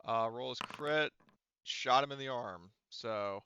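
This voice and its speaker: A man's voice: medium pitch, slow, bright, forceful tone, a little hiss or hum. A man running a tabletop game as game master, narrating casually and informally with some swearing, on slightly dull audio.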